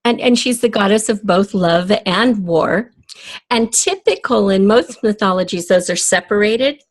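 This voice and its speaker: A woman's voice: mid-range voice, animated, measured pace. A middle-aged or older woman talking casually as a guest in an interview. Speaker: podcast guest, audio drama creator. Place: home, in front of bookshelves. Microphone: USB desktop microphone.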